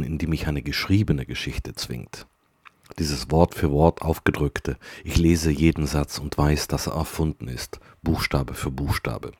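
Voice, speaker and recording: Guy with a super deep husky voice, thinking out loud diary style, trails off soft, dry close mic. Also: no room sound. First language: German. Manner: reflective, measured, trailing off quietly at sentence ends. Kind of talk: diary-style personal monologue.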